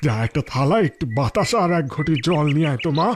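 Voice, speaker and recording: A man's voice: fairly high pitch, quick pace, slight background noise, close to an everyday voice. An actor playing a poor, kindly village father in a fairy-tale drama, with a humble, deferential manner. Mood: humble, welcoming. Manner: humble, coaxing. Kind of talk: Bengali fairy-tale audio drama acting.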